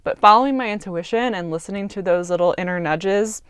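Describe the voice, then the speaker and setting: A woman's voice: mid-range voice, a little fast, clear, resonant tone, dry recording, studio quality. A woman in her twenties hosting her own podcast, talking in an earnest, chatty way and thinking aloud with little fillers. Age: 20s. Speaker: podcast host. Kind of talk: casual reflective monologue.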